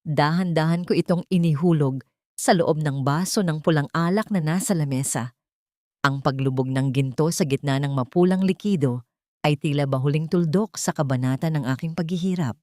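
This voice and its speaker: Low, mellow woman narrating solemnly. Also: normal speed, no echo, studio quality. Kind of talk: scripted first-person dramatic story narration.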